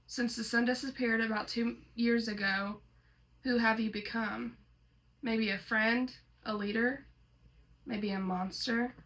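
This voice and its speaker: Female storyteller, higher-pitched with vocal fry, casual host reading posts aloud with asides. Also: fairly bright tone, low-quality recording. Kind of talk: casual read-aloud with commentary.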